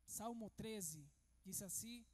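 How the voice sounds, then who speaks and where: A man preaches a church sermon. He speaks slowly and deliberately, and his delivery grows more emphatic and preacherly as he goes. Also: high-pitched, a little mellow. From Venezuela. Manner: measured, turning emphatic and preacherly. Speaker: pastor.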